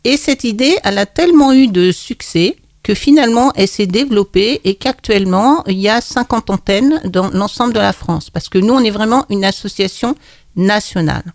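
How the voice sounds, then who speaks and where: A woman's voice: medium pitch, lively intonation, moderate pace, dry recording, studio quality. An older retired woman, chatty and full of hesitations, talking as a guest on a local radio show. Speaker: guest, association coordinator. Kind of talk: casual radio interview.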